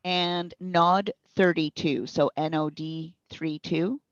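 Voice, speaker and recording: A woman with a slightly low voice gives informal expert answers in a careful, explanatory way. She is on a wireless headset, and the sound is dry and somewhat muffled, like a band-limited internet call. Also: steady tone, takes it slowly, dry recording. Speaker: speech recognition consultant and trainer being interviewed.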